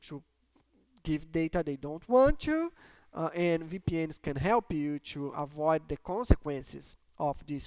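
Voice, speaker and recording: A man's voice: on the high side, darkish tone, clear, resonant tone, dry recording. A man from Brazil speaking English with a Brazilian Portuguese accent, explaining tech topics in a hesitant, filler-heavy casual talk. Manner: hesitant, many fillers and restarts. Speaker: tech channel host.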